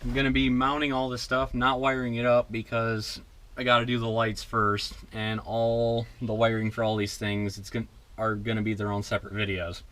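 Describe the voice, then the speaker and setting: A man's voice: medium pitch, level tone, moderate pace, fairly bright tone. A man casually narrating a hands-on vehicle project, thinking aloud as he works in an informal way. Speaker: DIY vehicle restoration vlogger.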